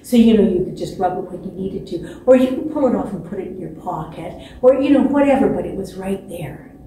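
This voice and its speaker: A woman in her sixties telling a children's story expressively, with dramatic pauses and repetition. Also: mid-range voice, lively intonation, mellow. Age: 60s. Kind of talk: animated children's storytelling from memory. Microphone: distant camera microphone. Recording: echoey stage, distant mic, faint noise.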